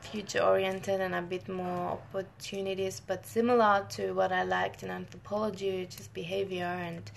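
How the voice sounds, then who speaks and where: Chatty woman from northern Italy, gravelly. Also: mid-range voice, flat delivery, moderate pace, hardly any pauses, a little hiss or hum. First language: Italian. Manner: friendly, chatty, many restarts and short laughs. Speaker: scientist hosting a live Q&A. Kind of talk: casual live-stream chat.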